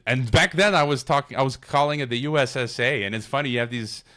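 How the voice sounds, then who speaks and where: A man's voice: medium pitch, quick pace, pushed and bright. A middle-aged man from Chicago, a podcast guest, talking casually in a rambling, digressive way with many restarts. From Chicago, United States. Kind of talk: casual interview conversation.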